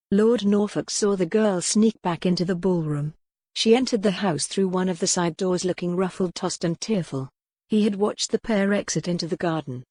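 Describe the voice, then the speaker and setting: A woman's voice: fairly low pitch, mellow, no room sound. A woman giving a scripted, dramatic narration of a historical romance audiobook.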